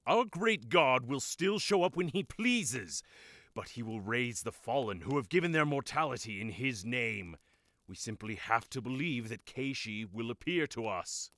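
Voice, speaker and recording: An actor playing a zealous, assured high priest of a cult in a fantasy audio drama, declaiming theatrically. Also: male voice, expressive, measured pace, fairly bright tone, no room sound. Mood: dismissive, assured.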